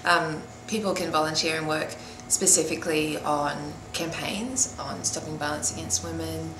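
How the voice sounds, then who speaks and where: Woman, Australian accent, low voice, informal interview, echoey noisy room. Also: steady tone, normal speed. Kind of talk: informal interview answers about volunteering. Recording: roomy echo, clearly noisy background.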